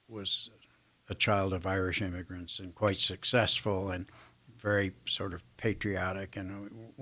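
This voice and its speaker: Low-voiced older American man, self-deprecating. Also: a bit bright. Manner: self-deprecating, hesitant, reflective. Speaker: interview guest, actor. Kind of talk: casual anecdotal interview chat.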